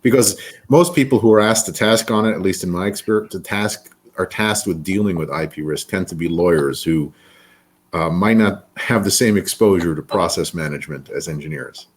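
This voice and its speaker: A man's voice: low voice, moderate pace. A man hosting an interview podcast, introducing his guest in a rambling way with frequent restarts. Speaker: podcast host, attorney.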